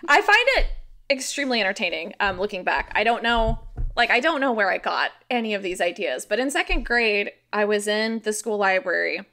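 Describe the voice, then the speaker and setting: A woman's voice: medium pitch, a little fast. An American woman chatting informally as a podcast guest, telling stories and explaining things in a loose conversational way. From rural Missouri, United States. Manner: informal, animated, frequent "like", sweary. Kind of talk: casual conversational storytelling and explaining.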